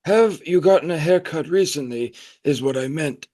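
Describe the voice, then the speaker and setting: An actor playing a pompous, nostalgic, middle-aged leader of a secretive group in an audio play, speaking in a grandiose, rambling way. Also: male voice, fairly high pitch, moderate pace, warm tone, close to an everyday voice. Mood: brisk, courteous.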